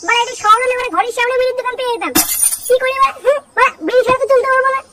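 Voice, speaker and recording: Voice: very high voice, steady tone, racing. A performer voicing a brash, demanding, hot-tempered boy from the city in a comic cartoon. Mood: whining, pushy. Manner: brash, foul-mouthed, demanding. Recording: very noisy cartoon soundtrack.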